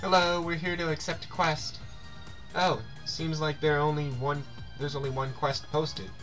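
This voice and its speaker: A man with a fairly high voice talks through a video game at a slow pace, reading the text aloud in a casual way. He sometimes trips over words and repeats them, with faint music behind him. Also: level tone, a bit bright, rough recording.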